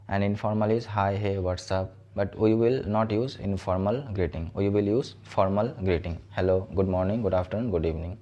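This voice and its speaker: A man's voice: fairly low pitch, normal speed, few pauses, mellow, highs cut off. A man teaching a spoken lesson, explaining step by step and often checking with "right?". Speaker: English teacher.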